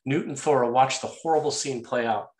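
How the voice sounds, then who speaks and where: Guy reading screenplay action lines as narrator, normal pitch, echoey room. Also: fairly even intonation, a little fast.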